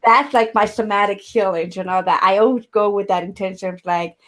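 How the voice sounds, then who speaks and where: A woman's voice: medium pitch, fairly even intonation, brisk, a bit bright. A woman from Ecuador, a somatic healer hosting a podcast conversation, talking in a searching, rambling way with many fillers. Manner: searching, rambling, filler-heavy, often laughs.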